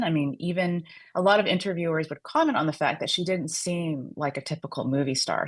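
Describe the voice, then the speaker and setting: Deep-voiced woman explaining fluently. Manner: fluent and explanatory, often adds a tag "right?". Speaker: author and guest. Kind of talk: radio interview.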